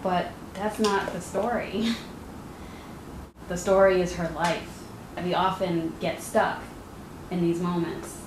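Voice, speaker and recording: A woman interviewer speaking thoughtfully and in measured phrases about a novel. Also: lowish voice, fairly even intonation. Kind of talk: casual interview conversation. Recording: roomy with background noise.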